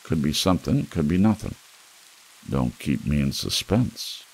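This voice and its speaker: A man reading a dark story as an audiobook narrator in an ASMR style, with a brooding, wry delivery. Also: very deep voice, expressive, a little slow, gravelly, slightly rough, low-quality recording. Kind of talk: scripted noir fiction narration for ASMR.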